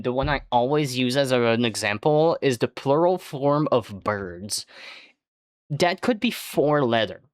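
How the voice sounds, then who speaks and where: A native French speaker streaming casually, rambling and swearing with jokey outbursts that jump up in pitch. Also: dry recording, studio quality. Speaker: livestreamer. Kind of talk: casual livestream chat.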